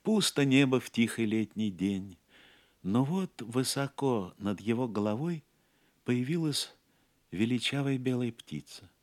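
A man narrating a legend as a scripted audio play, in a measured, literary and theatrical manner. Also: medium pitch, lively intonation.

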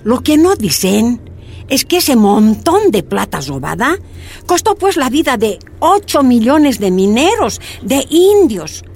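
A woman's voice: fairly high pitch, big pitch swings, measured pace, darkish tone, slightly rough, exaggerated voice. A woman playing a proud, plain-spoken Bolivian activist giving testimony in a radio drama, with a Bolivian accent and emphatic delivery. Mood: indignant. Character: proud Bolivian miner's daughter telling her life, indignant activist.

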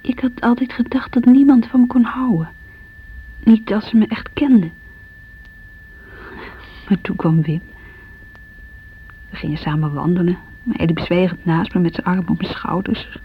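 A woman's voice: fairly low pitch, unhurried, long pauses, muffled recording, slight background noise. An actress playing an insecure, wounded woman in an intimate, reflective radio drama monologue. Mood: tender, sad.

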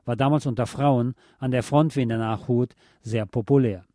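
A man narrates a scripted documentary feature in a measured, factual way. His voice is soft-edged and mellow at a middle pitch, captured very dry with no sense of a room. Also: fairly even intonation, no echo. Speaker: radio presenter reading a historical feature.

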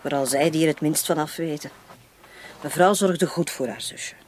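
An actress playing a loyal, evasive housekeeper in a radio play, talking hesitantly and discreetly. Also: female voice, deep voice, normal speed, a little mellow, slight background noise, lightly acted. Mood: discreet, defensive.

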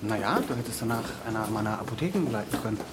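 An actor playing a laconic young pharmacy heir with a dry, teasing manner in a naturalistic radio drama. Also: male voice, on the low side, expressive, quick pace, lightly acted. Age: young adult. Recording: noisy on-location ambience.

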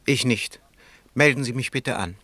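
A man's voice: mid-range voice, normal speed, bright, forceful tone, dry recording. An actor playing a gossipy, mocking businessman with a sardonic, insinuating manner in a 1960s radio play. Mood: brisk. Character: gossipy, mocking small-town businessman, sly and worldly.

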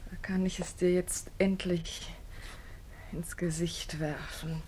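A woman's voice: lowish voice, little pitch movement, slow pace, dark tone, a little hiss or hum. An actress playing a proud, tormented young woman in love in a radio drama, swinging dramatically between scorn and tenderness. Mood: cold, scornful. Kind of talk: German radio drama acting.

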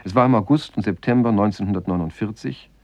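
A man reading a scripted literary essay for radio in a measured, formal manner, as a presenter introducing a play. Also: on the low side, darkish tone, dry recording. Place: dry radio studio.

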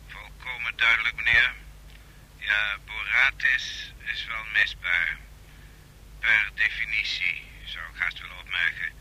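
Secret agent on a crackly radio, very tinny sharp voice, low pitch, clipped and obedient, radio drama. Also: male voice, steady tone, a little slow, exaggerated voice. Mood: formal, deferential. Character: deferential, businesslike male secret service contact over radio. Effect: radio transmission filter. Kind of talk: radio play acting. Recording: harsh crackly radio link.

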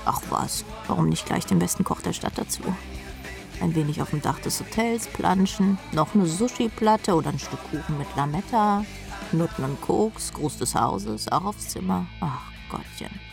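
Gravelly, sardonic female rock drummer. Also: lowish voice, animated, moderate pace. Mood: grandiose dreaming. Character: brash, world-weary female rock drummer telling her story. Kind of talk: radio drama first-person narration. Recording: music playing behind the voice.

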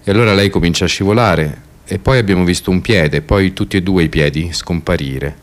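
A man presenting and narrating for radio theatre, reading aloud in a measured, sober and deliberate way. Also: sits low.

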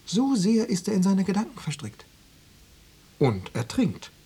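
Insinuating secret police officer, husky. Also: male voice, high voice, wildly swooping pitch, measured pace, mellow, heavily acted. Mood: probing. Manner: probing, coaxing, coolly formal with veiled menace. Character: insinuating male Stasi officer pressuring an informant. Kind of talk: German radio drama dialogue.

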